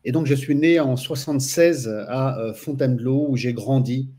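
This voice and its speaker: A man in his forties from France sits as a guest and tells his story in a relaxed, searching way. He talks at an ordinary middle pitch and keeps pausing to find his words. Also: slightly rough. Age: 40s. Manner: hesitant, frequent pauses and fillers. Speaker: guest, Islamic studies teacher. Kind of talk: casual interview chat.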